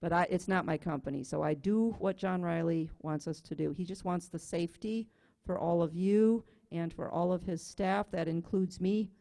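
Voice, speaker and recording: A woman's voice: on the low side, moderate pace, mellow. A woman with a Wisconsin accent hosting an online music class over a video call, chatting casually in a folksy, informal way. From Wisconsin, USA. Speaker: music class teacher and host.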